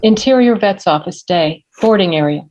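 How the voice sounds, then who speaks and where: A woman's voice: medium pitch, animated, moderate pace, darkish tone. A woman reading screenplay scene directions as scripted narration, on a slightly lo-fi recording. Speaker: stage-direction reader. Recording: slightly dull, lo-fi audio.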